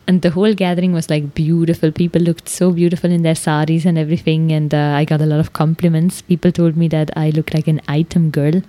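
Low, mellow woman musing. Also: flat delivery, quick pace, hardly any pauses, dry recording. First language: Swiss German. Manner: thoughtful, searching, many filler "like"s. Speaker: podcast host. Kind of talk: reflective personal monologue.

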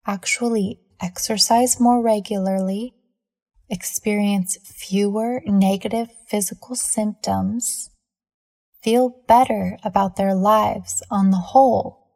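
A woman leading a scripted guided meditation in a measured, soothing manner with deliberate pauses between phrases. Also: medium pitch, vocal fry, warm tone.

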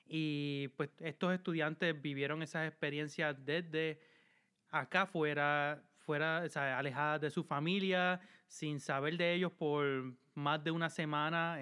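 A young man co-hosting a science podcast, chatty and filling his pauses with "este". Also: on the high side, fairly even intonation, sharp tone, clean tone. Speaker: podcast co-host.

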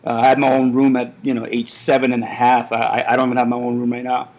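A man's voice: mid-range voice, monotone, fast talker, a little mellow. A man in his thirties, born in Iran, who runs a café, chatting casually in an interview and rambling with lots of fillers and restarts. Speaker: café owner.

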